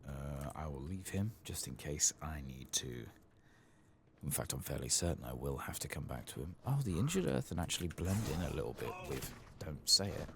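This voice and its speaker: A soft-spoken man giving ASMR commentary on a video game, hushed and often slipping into whispers. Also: very deep voice, big pitch swings, moderate pace, gravelly, slight background noise.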